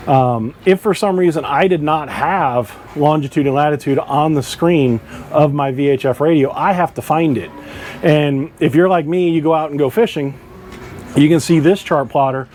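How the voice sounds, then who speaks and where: Higher-voiced man explaining, with background noise. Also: a little mellow. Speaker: boating channel host. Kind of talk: informal instructional explainer to camera.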